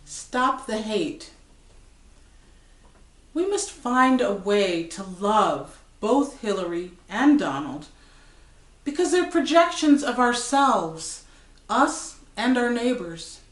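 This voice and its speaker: A woman reading poetry aloud in a dramatic, deliberate way, with emphatic pauses. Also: on the high side, sing-song. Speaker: poetry reader. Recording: roomy, echoing recitation.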